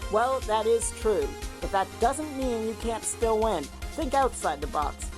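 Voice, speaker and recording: A woman's voice: on the high side, measured pace. Woman playing a firm mentor over background music. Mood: firm encouragement. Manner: urging, steady. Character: firm, encouraging defense partner and mentor. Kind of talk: courtroom audio drama acting.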